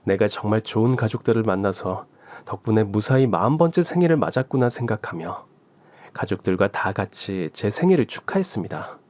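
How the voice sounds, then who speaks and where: A man's voice: lowish voice, lively intonation, a little fast, darkish tone, dry recording. A man narrating a scripted first-person radio story.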